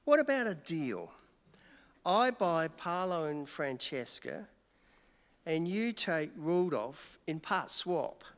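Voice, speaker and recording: An actor playing a boozy, wheedling New York newspaper reporter in a 1940s radio play, halting and coaxing. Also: mid-range voice, sing-song. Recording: echoey hall, music behind.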